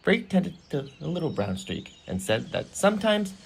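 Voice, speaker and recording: A man's voice: fairly high pitch, sing-song, normal speed, gravelly, slight background noise, rough recording. A man narrating a scripted children's story in storybook style. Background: music.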